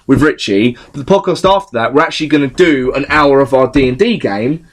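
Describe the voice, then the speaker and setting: A man's voice: mid-range voice, a little fast. A young man hosting a podcast, chatting casually with friends, swearing freely and rambling through a story with lots of ums. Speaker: podcast host.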